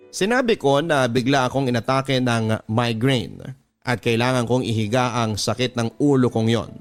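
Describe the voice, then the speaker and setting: A man with a Filipino accent reads a first-person story in a measured, confessional way, as a radio drama narrator. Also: mid-range voice. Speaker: radio drama narrator reading a listener's letter. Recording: music bed under the voice. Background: background music.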